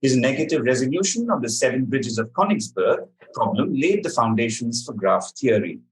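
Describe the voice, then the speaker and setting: A man hosting a quiz, reading questions to contestants and explaining answers in a measured, articulate way. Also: medium pitch, big pitch swings, rough recording. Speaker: quiz master.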